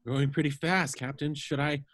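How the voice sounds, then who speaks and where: Guy doing a young excitable spaceship pilot, bit high pitched, nervy, sci-fi script read. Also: normal speed, close to an everyday voice. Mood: nervous. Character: excitable young male starship pilot, anxious, eager. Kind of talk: amateur table read over online call.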